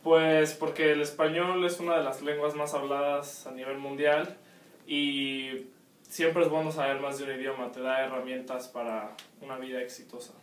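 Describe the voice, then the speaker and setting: A man's voice: medium pitch, little pitch movement, moderate pace. A young man from Mexico giving brief, matter-of-fact interview answers in a small indoor room. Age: young adult. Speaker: student interviewee. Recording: distant echoey room, faint noise.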